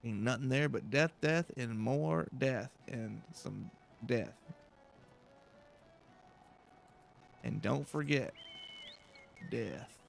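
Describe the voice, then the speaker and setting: Man playing a grim game sailor, blunt, salty, dramatic, creaky voice, faint music. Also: medium pitch, slow. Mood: grim, incredulous.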